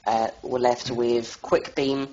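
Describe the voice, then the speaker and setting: A young man with a cold giving an informal lore talk as a tour guide, halting and full of fillers. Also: medium pitch, level tone, a little mellow. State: has a cold. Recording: dull lo-fi voice chat audio.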